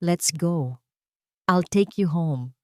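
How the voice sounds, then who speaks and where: Woman acting stern, commanding businessman, low voice. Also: no echo, studio quality. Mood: firm, protective. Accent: Filipino. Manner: low, menacing, authoritative, slow.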